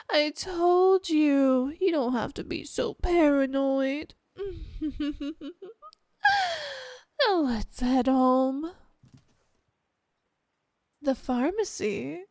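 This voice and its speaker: A woman playing a sweet, possessive, obsessed wife with quiet menace, cooing and coaxing in a roleplay ASMR style. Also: high voice, no room sound, studio quality. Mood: falsely innocent, then sweet, veiled jealousy. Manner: cooing, saccharine, coaxing, drawn-out delivery.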